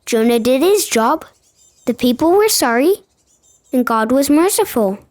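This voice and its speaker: A woman's voice: high-pitched, animated, a little slow, a little mellow. A woman voicing a curious little boy who listens to a story and asks simple questions. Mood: puzzled. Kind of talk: scripted children's audio story.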